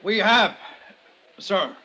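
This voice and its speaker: A man playing a nervous, halting jury foreman in a 1950s radio drama. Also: sits high, bright, forceful tone, full character voice. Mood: solemn, halting. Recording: noisy vintage radio recording.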